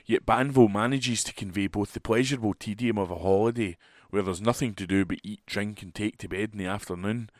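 A man reads a book review aloud from a newspaper as a volunteer reader. His voice is on the low side. The home recording is close and dry, with no room sound. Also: dry recording.

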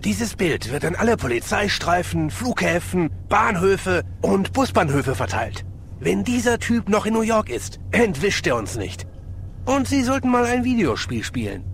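A man playing a courteous, confident police inspector in a German audio drama, explaining things politely and briskly. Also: high-pitched, big pitch swings, slight background noise, heavily acted.

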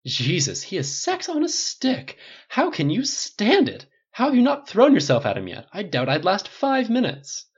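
A man's voice: sits high, wildly swooping pitch, slightly rough, voice pushed up, exaggerated voice. A man voicing a campy, flirtatious male hairdresser with a put-on German accent, teasing and sing-song. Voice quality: camp, sing-song, drawn-out. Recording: dull lo-fi recording.

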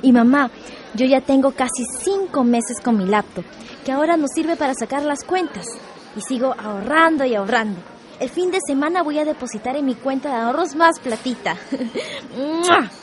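Gleeful young Peruvian daughter, high-pitched, thrifty, radio soap. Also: female voice, expressive, normal speed, a faint crowd. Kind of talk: educational radio soap acting.

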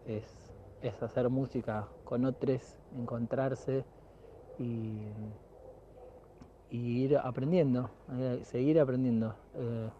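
A man, a music teacher calmly answering interview questions and often repeating words as he thinks. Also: mid-range voice, highs cut off, slight background noise. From Argentina. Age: 30s.

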